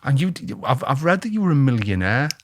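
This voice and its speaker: A man's voice: mid-range voice, very animated, brisk, slight background noise. A man hosting a podcast interview, an eager interviewer who encourages his guest. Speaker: podcast host, CEO. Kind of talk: interview, casual chat.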